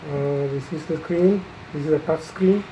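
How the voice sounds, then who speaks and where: A person giving an unscripted, explanatory product demonstration in a halting, matter-of-fact way, pausing mid-phrase. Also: medium pitch, noisy background. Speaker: installer presenting a product tutorial.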